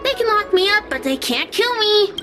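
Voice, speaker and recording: A woman's voice: very high-pitched, lively intonation, moderate pace. A woman voicing an ancient, malevolent flame spirit in a young girl's body, theatrical, defiant and taunting, for a video game. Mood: smug, menacing. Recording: music under the voice.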